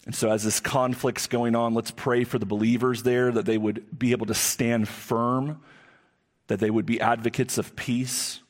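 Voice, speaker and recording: A male pastor with a booming voice, preaching a sermon and leading prayer in a church on a live microphone, earnest and repeating key phrases for emphasis. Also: level tone, normal speed. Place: church sanctuary. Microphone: live amplified microphone.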